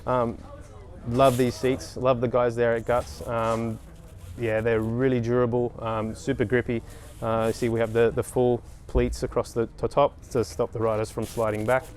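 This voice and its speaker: A man in his thirties, a race mechanic talking casually in an interview, with many pauses and restarts. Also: mid-range voice, little pitch movement, a little mellow. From Australia. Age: 30s. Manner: hesitant, many ums and restarts.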